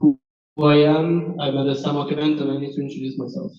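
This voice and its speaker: A man's voice: a little high, level tone, quick pace. Male panel candidate, native French, French accent, candid and informal; muffled, distant roomy recording. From France. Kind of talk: informal spoken answers to panel questions. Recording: dull, echoey room, distant mic.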